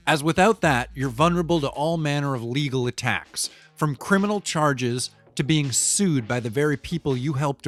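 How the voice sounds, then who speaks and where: A man's voice: mid-range voice, fairly bright tone, natural voice. A man playing a cynical, pragmatic business type in an audio drama monologue, matter-of-fact, opinionated and conversational as he explains things. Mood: wry, animated.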